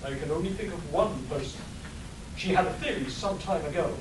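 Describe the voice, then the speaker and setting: A man's voice: on the high side, brisk, natural voice. A man playing a laid-back, jaded retired storm chaser in a comic radio play, with a flippant manner. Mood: matter-of-fact. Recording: echoey noisy hall, distant mic.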